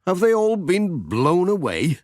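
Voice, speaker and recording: A cheerful village postman, voiced by a man, good-natured and friendly. His voice swoops expressively and sits a little high, in children's storybook style. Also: wildly swooping pitch, unhurried, a little mellow, no echo, studio quality, exaggerated voice. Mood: dismayed.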